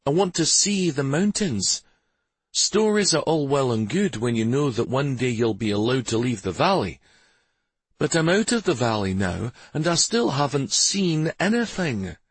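A man's voice: medium pitch, sing-song, unhurried, breathy edge, dry recording, pitched above the performer's own voice, heavily acted. A man voicing an adventurous, affectionate young wife of noble standing in a fantasy audiobook, speaking earnestly and teasingly. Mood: yearning, playful.

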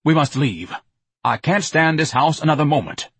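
A man's voice: on the high side, animated, normal speed, fairly bright tone, slightly rough, no room sound, voice pushed up, full character voice. A man voicing a pompous, self-pitying, overweight grown man who talks in grand, melodramatic speeches.

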